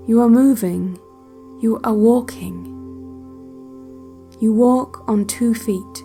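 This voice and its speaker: Slow, controlled relaxation-tape guide. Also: female voice, fairly high pitch. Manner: measured, deliberate, hushed, detached. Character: woman narrator of an institutional relaxation cassette, controlled, quietly ominous. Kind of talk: slow guided visualization, scripted audio drama.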